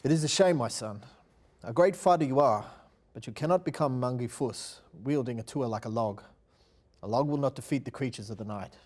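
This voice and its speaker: A man acts out a stern warrior father from a fantasy tribe. He speaks in grave, measured declarations at a middle pitch, like a teacher addressing his son in an audio drama. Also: darkish tone, natural voice. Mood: proud but stern. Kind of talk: dramatic table read acting.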